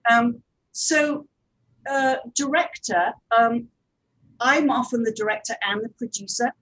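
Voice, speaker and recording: A woman speaking as a producer on a panel, explaining things step by step with hesitant pauses. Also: a little high, a bit bright, rough recording. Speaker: audio drama producer and director, panelist.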